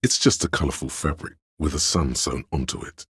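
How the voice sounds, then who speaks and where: A very deep, erudite inner voice. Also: male voice, a bit bright, breathy edge, no echo, studio quality, heavily acted. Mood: measured, erudite. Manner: measured, pedantic, lecturing. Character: male inner voice of encyclopedic knowledge, erudite and smug. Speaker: game narrator. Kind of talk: scripted video game narration.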